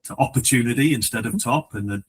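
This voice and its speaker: A New man, a political candidate, telling his story in a relaxed, chatty way with some false starts. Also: mid-range voice, quick pace. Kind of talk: casual interview answer.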